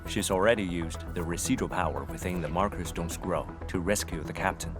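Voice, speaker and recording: Deep-voiced stern soldier, played by a man. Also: brisk, music in the background, close to an everyday voice. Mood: matter-of-fact. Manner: formal, clipped, matter-of-fact military reporting. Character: stern, blunt male special task force soldier reporting from battle. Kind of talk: video game cutscene acting.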